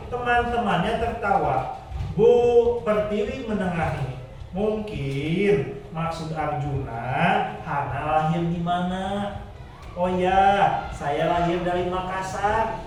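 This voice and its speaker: A man's voice: high voice, takes it slowly, slight background noise. A male teacher reading a children's story aloud to his class and stopping to quiz them. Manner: checks the class with questions between story parts. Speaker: primary school teacher. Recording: echoey classroom, far from the mic.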